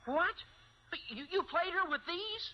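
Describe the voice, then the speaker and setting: An actor playing an earnest teenage schoolboy with a squeaky adolescent voice in a radio sitcom. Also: male voice, lively intonation, unhurried. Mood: eager, confiding. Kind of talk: 1950s American radio sitcom acting. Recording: dull old radio recording.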